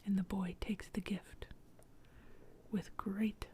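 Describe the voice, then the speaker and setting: Slow whispering woman storyteller. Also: mid-range voice. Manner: soft unvoiced whisper, slow and calm.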